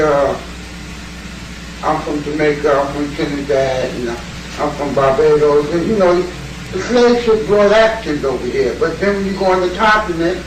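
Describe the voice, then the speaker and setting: A man from the audience speaking in an earnest, searching way during a Q&A in a lecture hall. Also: fairly high pitch. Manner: slow, earnest, searching for words. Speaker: audience member asking a question. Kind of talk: Q&A comment from the floor. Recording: echoey hall, distant mic, noisy.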